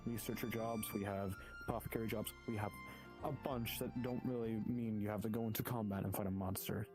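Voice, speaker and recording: A man's voice: fairly low pitch, steady tone, brisk. A man improvising an in-character guild orientation, explaining politely and wordily. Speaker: roleplayer as hunter guild guide. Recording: music behind the voice. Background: music.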